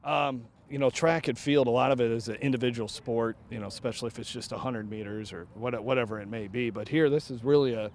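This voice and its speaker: A man interviewing people on site for a local broadcast outdoors at a youth track meet, upbeat and quick with follow-up questions. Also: medium pitch, steady tone, darkish tone, a little hiss or hum. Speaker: sports event interviewer.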